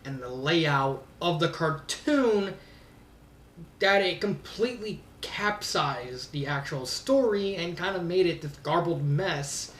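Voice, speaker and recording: Guy with a pretty high voice rambling through a review, kinda fed up, roomy and off the mic. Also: a little hiss or hum. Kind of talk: casual spoken fan fiction review. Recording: roomy echo, distant from mic.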